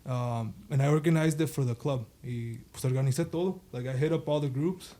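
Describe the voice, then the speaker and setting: A man's voice: mid-range voice, brisk, warm tone. A man in his thirties from the Chicago suburbs, a party promoter chatting casually and switching between English and Spanish. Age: 30s. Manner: mixes English and Spanish, laughs often. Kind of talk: casual podcast chat.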